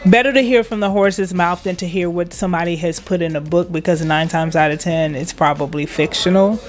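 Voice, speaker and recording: A woman's voice: fairly low pitch, fairly even intonation, brisk. A woman from Liberia, a guest in an interview, speaking with pride and emphasis and laughing often. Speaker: guest, internet radio station manager. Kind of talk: casual interview chat.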